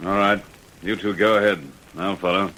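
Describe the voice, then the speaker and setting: An actor playing a young police constable in an old radio crime drama, official, patient and insistent. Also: male voice, low voice, little pitch movement, moderate pace, bright, forceful tone, slight background noise, low-quality recording. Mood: firm. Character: firm, unflappable young male police constable.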